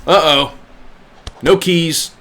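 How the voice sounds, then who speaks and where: A man giving informal live commentary on a video game, reacting out loud as he plays. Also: on the high side, steady tone, extremely slow. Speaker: game livestreamer. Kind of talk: casual gameplay commentary.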